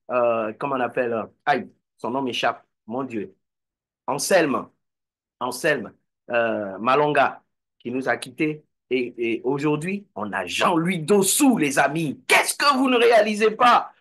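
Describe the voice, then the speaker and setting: A man's voice: fairly high pitch, fairly bright tone. A man giving a motivational talk to an online meeting, exhorting and repeating phrases. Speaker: network marketing speaker.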